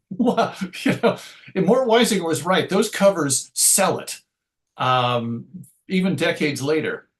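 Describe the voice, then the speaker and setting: A man's voice: fairly high pitch, animated, slow pace. A middle-aged man reminiscing casually as an interview guest, hesitant and rambling, often interrupting himself to restart a thought. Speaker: interview guest, writer and radio show host.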